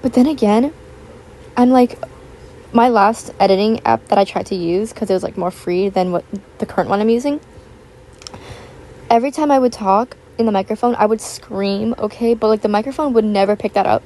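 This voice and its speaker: Raspy young woman chatting in a rambling way. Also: mid-range voice, moderate pace, dark tone. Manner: rambling, filler-heavy, chatty. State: raspy from just waking up. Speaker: YouTuber. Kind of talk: casual chat voiceover. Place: home, with household noise. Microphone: built-in tablet microphone.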